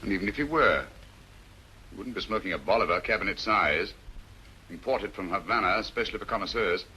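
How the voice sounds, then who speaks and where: A faintly noisy vintage radio drama. an English detective, incisive and authoritative, with a bright, forceful voice that swings widely in pitch. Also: male voice, expressive, fairly quick, exaggerated voice. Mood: shrewd, dry. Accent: British. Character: brisk, shrewd English gentleman detective. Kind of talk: 1940s radio mystery acting. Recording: slightly noisy old radio recording.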